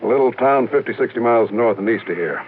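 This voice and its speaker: A man's voice: mid-range voice, expressive, quick pace, a little hiss or hum, natural voice. Actor as worried insurance man on tinny vintage radio. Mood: concerned, businesslike. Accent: American. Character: worried, suspicious male insurance office manager. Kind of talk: 1950s radio drama dialogue. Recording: thin band-limited old radio broadcast.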